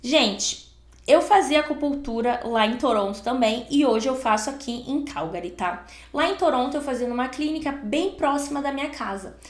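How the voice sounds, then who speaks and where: A young Brazilian woman with a Brazilian Portuguese accent vlogs at home in a bright, high voice. She tells her story in an animated, chatty way and slips into quick impressions of the people she met. Her voice bounces around an echoey room. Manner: chatty, animated, acts out what others said. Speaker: vlogger. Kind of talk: casual storytelling vlog.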